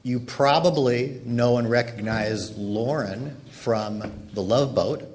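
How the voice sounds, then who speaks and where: A man's voice: medium pitch, slow pace. A man interviewing guests as host of a live panel on stage. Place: large hall stage, before an audience. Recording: noisy live hall recording.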